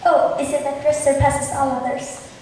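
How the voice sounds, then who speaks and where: Young woman doing a snappy nervous bride in a school shakespeare play, high voice, super echoey. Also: little pitch movement, moderate pace, dark tone, close to an everyday voice. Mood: fretful. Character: young bride-to-be, anxious, snappish with her maid. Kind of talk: amateur stage acting of Shakespeare comedy. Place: amateur stage performance in a reverberant room. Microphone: distant recorder. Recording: echoey hall from far off, faint noise.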